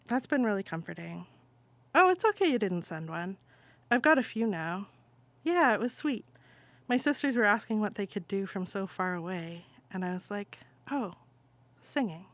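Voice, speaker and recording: A woman performing an exasperated adult venting to a cousin in a casual, halting phone-call monologue. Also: medium pitch, expressive, no room sound, close to an everyday voice. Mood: weary, softening. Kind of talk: dramatic monologue, conversational stage reading.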